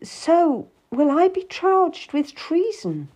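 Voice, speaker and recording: A woman's voice: a little high, sing-song, a little slow, pitched above the performer's own voice, low-quality recording. A woman voicing a distressed, pleading woman in an audiobook drama, emotional and defensive. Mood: fearful.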